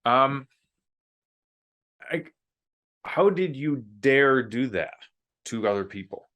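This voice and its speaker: A man's voice: medium pitch, lively intonation, slow, fairly bright tone. A man hosting a podcast in a casual, chatty way, poking fun at himself as he talks. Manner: chatty, self-deprecating, jokes at himself.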